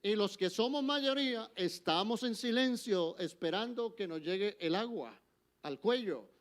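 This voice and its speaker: Older Colombian preacher, fairly high voice. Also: male voice, lively intonation, measured pace. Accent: Colombian Spanish. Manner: emphatic, swinging between forceful exhortation and soft, intimate asides. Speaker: guest preacher. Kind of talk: sermon. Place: church.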